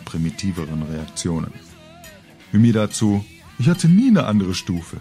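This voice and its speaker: A middle-aged man reads a humorous first-person story. His voice is low and his telling is wry and colourful. Faint music plays behind him. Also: a little mellow. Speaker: author reading own story. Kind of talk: scripted humorous narration, audio-book reading.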